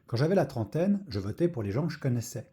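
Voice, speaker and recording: A man's voice: medium pitch, sing-song, fast talker, dark tone. Man explaining in an echoey room. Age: 40s. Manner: plain, patient explaining with occasional hesitations. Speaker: local politician giving a voting tutorial. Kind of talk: step-by-step explanatory tutorial. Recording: echoey room with faint noise.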